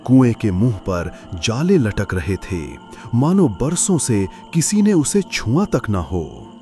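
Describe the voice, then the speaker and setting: A man narrating a scripted horror story in a suspenseful, deliberate, dramatic manner. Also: lowish voice, sing-song, mellow, faint music behind.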